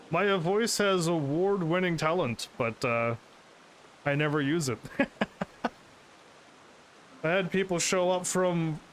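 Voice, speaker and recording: A man streaming a video game, talking casually and pushing his voice up to sound lively and upbeat, laughing often. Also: slight background noise. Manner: energetic, projects his voice higher and louder; chatty, laughs often, restarts sentences. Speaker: gaming streamer. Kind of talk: casual let's-play commentary.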